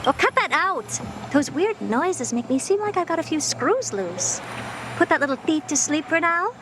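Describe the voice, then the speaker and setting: An actress playing a haughty, theatrical genius scholar in a video game, pompous, mocking and self-assured. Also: female voice, high voice, very animated, normal speed, background noise. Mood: annoyed. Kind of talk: scripted video game voice acting.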